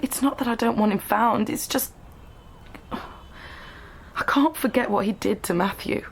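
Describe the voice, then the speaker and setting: An actress playing a wounded, bitter grown daughter in a radio drama, speaking with subdued resentment. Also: female voice, on the high side, measured pace, creaky, slight background noise. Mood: bitter, pained.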